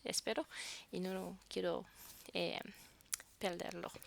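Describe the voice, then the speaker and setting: A woman's voice: fairly low pitch, fairly even intonation, takes it slowly, creaky, a little hiss or hum. A woman whose first language is French, vlogging casually to camera and searching for words as she talks.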